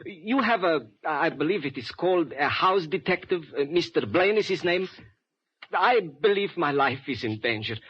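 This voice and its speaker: An actor playing a frightened, formal professor, speaking haltingly in an old radio drama. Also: male voice, on the high side, animated, husky. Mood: nervous, polite. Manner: halting, formal, urgent speech. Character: frightened foreign professor in his sixties, defecting, formal. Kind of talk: 1950s radio drama acting. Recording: muffled old radio recording.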